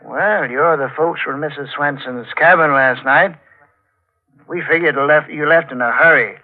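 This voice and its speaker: An actor playing a folksy, unhurried state trooper in a 1940s radio drama style. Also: male voice, on the high side, lightly acted. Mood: folksy, reassuring. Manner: folksy, drawn-out questioning. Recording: tinny, narrow-band old radio broadcast.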